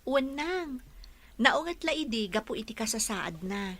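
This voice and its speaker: A woman playing a dutiful young caregiver daughter in a radio drama, gentle and reassuring. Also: on the high side, big pitch swings, unhurried, heavily acted.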